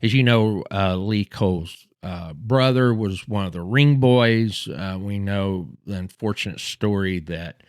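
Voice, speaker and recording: A man in his fifties with a Texas accent, host of a history channel, tells a personal story. His voice sits on the low side and stops and restarts often. The sound is close and dry, with no room around it. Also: darkish tone, clean tone, no echo. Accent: Texan. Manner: halting, many fillers and restarts.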